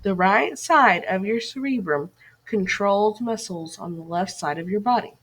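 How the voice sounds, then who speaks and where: A woman's voice: mid-range voice, measured pace, a bit bright, slightly rough. A woman reading a scripted educational lesson aloud to children as a teacher, putting questions and directions to young listeners.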